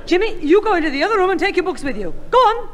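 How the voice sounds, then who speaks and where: A harried mother scolds in a high, swooping voice. She is flustered and reproving, in an old radio sitcom recorded in a reverberant hall. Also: sing-song, rapid-fire, a bit bright, full character voice. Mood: exasperated. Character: scolding, harried middle-aged mother keeping up appearances. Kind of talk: 1960s radio sitcom acting.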